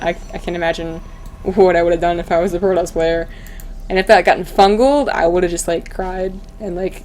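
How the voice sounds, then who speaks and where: A woman doing live, casual esports commentary in an excitable, slangy way, her voice a little tired from talking. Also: fairly low pitch, a little hiss or hum. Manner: gushing, excitable, slangy and informal. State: voice tiring, starting to go. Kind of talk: live video game commentary, casual and chatty.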